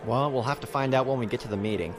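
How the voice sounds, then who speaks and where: A man's voice: mid-range voice, rapid-fire, music in the background, natural voice. Man acting a patient, easygoing starship captain. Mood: businesslike. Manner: calm, informal. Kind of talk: audio drama acting.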